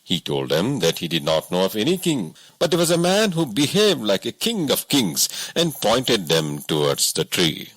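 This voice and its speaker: A man's voice: on the low side, wildly swooping pitch, normal speed, hardly any pauses, a bit bright, no echo, rough recording. A man narrating a scripted audiobook story as a reader.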